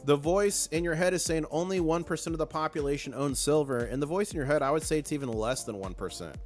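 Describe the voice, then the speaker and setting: Hoarse, excitable live stream host. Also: male voice, fairly high pitch, fast talker, soft background music. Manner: excitable, chatty, reads chat aloud. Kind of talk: casual live stream chat.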